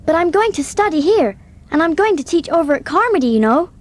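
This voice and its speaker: A woman playing an earnest, impulsive, warm-hearted teenage orphan girl in an audiobook drama, speaking with eager emotion. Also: very high voice, sing-song, fairly quick.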